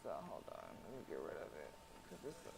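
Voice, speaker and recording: A woman's voice: very deep voice, very animated, fast talker, background noise. A woman streaming a game, with sassy, slangy casual commentary and reading aloud.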